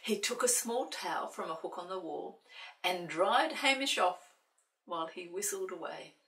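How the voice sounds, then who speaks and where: A woman reading a picture book aloud to children as an expressive storyteller. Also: lowish voice, normal speed. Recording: roomy, distant microphone.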